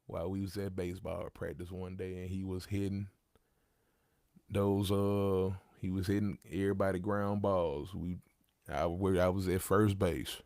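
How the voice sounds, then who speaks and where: A man's voice: low voice, level tone, moderate pace, darkish tone, dry recording, clean studio sound. A man in his thirties hosting a livestream, talking casually with slang and repeating his points. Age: 30s. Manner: gravelly, conversational, repeats himself, street slang. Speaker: livestream host.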